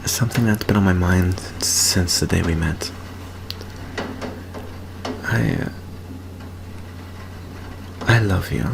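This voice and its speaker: A man playing a nervous, earnest teenage boy vampire in an intimate ASMR roleplay, speaking haltingly with small stammers. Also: sits low, gravelly, background noise, full character voice. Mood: earnest, shy.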